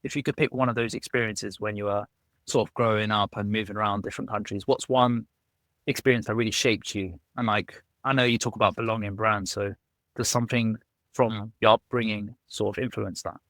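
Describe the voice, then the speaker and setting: A man's voice: on the low side, steady tone, a little fast, a bit bright, no echo. A man hosting a podcast interview, upbeat and chatty, often saying "yeah, no". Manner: upbeat, many fillers like "yeah, no". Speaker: podcast host.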